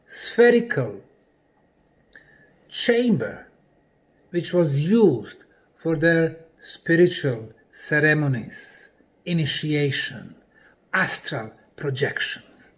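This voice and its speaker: A man presenting in a lecture style, deliberately, in short separated phrases with emphasis on key words. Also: on the high side, big pitch swings. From Bosnia. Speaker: presenter and researcher.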